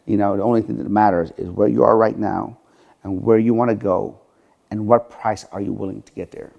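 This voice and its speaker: A man's voice: on the low side, steady tone, fairly quick, gravelly, muffled recording. A middle-aged man from Iran, a podcast guest telling his life story earnestly, with many "you know" fillers. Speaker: podcast guest, gym franchise owner. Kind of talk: interview storytelling.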